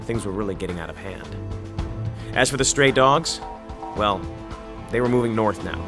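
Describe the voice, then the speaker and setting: A man playing a wry war reporter who tells his story gravely in a video game cutscene. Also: lowish voice, expressive, moderate pace. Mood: grave, reflective. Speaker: embedded war reporter and narrator. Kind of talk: dramatic game cutscene narration and dialogue. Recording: music behind the voice.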